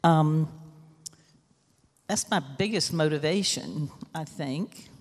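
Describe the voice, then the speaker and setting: A woman with a loud voice sharing a casual church testimony into a microphone, chatty and full of fillers. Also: low voice, takes it slowly. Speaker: church member sharing a reflection. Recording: echoey hall.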